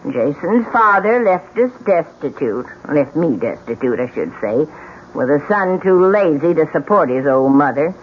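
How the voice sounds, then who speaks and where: Bitter old widow, meddling and ominous, highly animated delivery, muffled vintage radio sound. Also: female voice, unhurried, a little hiss or hum. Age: older. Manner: needling, dramatic storytelling. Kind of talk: old-time radio drama acting.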